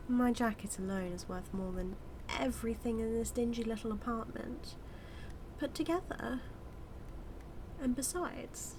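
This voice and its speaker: A woman's voice: mid-range voice, noisy background. A woman with a British accent acting as a smug female supervillain who mocks and teases her hero nemesis in a theatrical, drawling audio roleplay monologue. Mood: taunting, playful. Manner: mocking, theatrical, drawling with drawn-out words.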